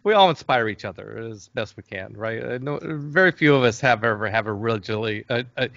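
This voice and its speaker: A man talking casually as an interview guest, telling stories in a hesitant but enthusiastic, halting way. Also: mid-range voice, lively intonation, vocal fry, a bit bright, dry recording, muffled recording. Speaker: interview guest, game creator. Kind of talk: casual interview talk, storytelling.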